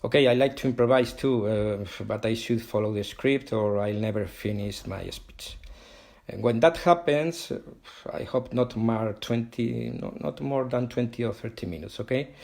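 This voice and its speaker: A middle-aged Spanish man speaking English as a second language, his accent clear throughout. He talks over a video call with a steady, mid-range pitch, often pausing, restarting and tagging sentences with "okay?". He speaks as a company head opening an online question session. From Spain. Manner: hesitant, frequent "uh" and "okay?" tags, self-correcting. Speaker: company CEO giving a virtual Q&A. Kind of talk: live online presentation.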